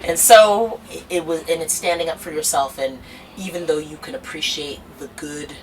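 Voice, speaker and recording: A woman's voice: deep voice, normal speed, recorded from a distance, slight background noise, low-quality recording. A young woman talking about her music in an earnest, rambling interview full of fillers and restarts. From United States. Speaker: singer-songwriter. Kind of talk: casual documentary interview.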